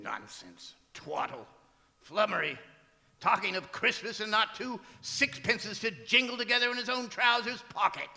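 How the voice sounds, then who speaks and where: A reverberant theatre recording of a cantankerous old Victorian miser. The voice is high and gravelly with a piercing edge, barking out cold scorn in emphatic radio-play style. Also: male voice, animated, normal speed, pushed and bright, exaggerated voice. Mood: irritable, dismissive. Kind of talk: stage radio drama acting.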